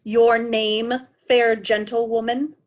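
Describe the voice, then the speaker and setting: A woman's voice: on the high side, level tone, takes it slowly. A woman playing a proud, indignant old king in a Shakespearean reading, stately and commanding. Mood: bewildered, bitter.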